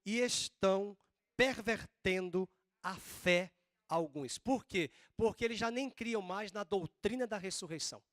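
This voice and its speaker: A man preaching a sermon as pastor, with a drawn-out Northeastern Brazilian accent and slow, emphatic stresses. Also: sits high, fairly bright tone, no room sound, studio quality. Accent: Northeastern Brazilian Portuguese.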